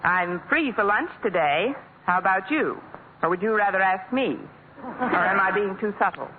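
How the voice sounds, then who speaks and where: A woman's voice: medium pitch, expressive, normal speed, natural voice. A woman as a wisecracking schoolteacher. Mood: wry. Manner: wisecracking, dry asides. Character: sharp-tongued woman schoolteacher, wry and lovestruck. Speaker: schoolteacher narrator and lead. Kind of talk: 1940s radio sitcom acting. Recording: tinny, hissy old radio broadcast.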